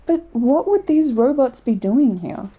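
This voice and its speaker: A woman's voice: sits high, expressive, a little slow, breathy edge, muffled recording, full character voice. A woman playing a curious, wry young travelling companion in an audio drama, casual and questioning. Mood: curious, surprised.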